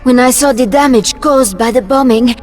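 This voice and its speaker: Woman playing young French rebel, French accent, highish voice, earnest, insistent, game cutscene, soft music behind. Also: normal speed, natural voice. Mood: concerned. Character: earnest, strong-willed young Frenchwoman in the resistance. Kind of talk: video game cutscene acting.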